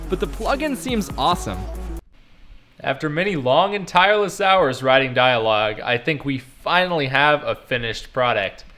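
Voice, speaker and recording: A young man narrating a casual vlog in a wry, self-deprecating way. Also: fairly high pitch. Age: young adult. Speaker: vlogger, game developer.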